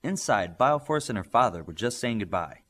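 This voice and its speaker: Animated man reading an audiobook. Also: lowish voice, normal speed. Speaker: audiobook reader. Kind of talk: scripted audiobook narration.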